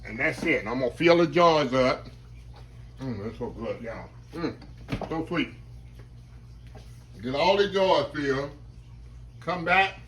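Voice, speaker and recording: Guy cooking in his kitchen, kinda hoarse, a bit higher voice, keeps saying y'all. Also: lively intonation, slow, pauses often, a bit bright, a little hiss or hum. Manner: chatty, keeps addressing viewers as y'all. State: slightly hoarse. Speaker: home cook. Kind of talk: casual cooking demonstration. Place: home kitchen.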